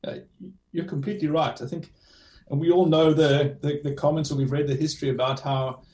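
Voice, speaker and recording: A man with a South African accent, a team director answering interview questions in a measured way and often restarting his phrases. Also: a little high, fairly even intonation, dark tone. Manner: measured, restarts phrases with many "you know"s. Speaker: motorsport team director, guest. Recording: echoey room, distant from the mic.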